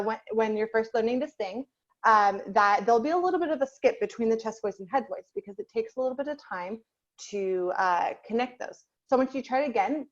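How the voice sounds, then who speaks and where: A fairly high-pitched young woman teaching singing over a video call, warm in her encouragement and chatty, with plenty of "um" and "like". The sound is dull and lo-fi, as if through a laptop connection. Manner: encouraging, chatty, many fillers. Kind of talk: online group singing class.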